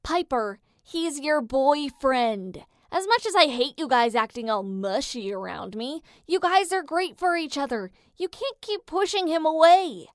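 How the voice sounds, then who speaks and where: A cheeky teenage boy from an adventure story, acted out by a woman, pitched high for a lad. He teases and pushes with brash confidence, recorded very dry. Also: lively intonation, measured pace, hardly any pauses, dry recording. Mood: insistent. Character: Leo, cheeky, teasing teenage boy demigod. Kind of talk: dramatic reading of a fan story.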